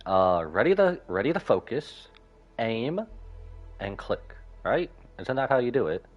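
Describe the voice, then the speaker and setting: A man's voice: mid-range voice, sing-song, unhurried. A man giving casual live commentary as he streams a game. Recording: dull audio over game music. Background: game music.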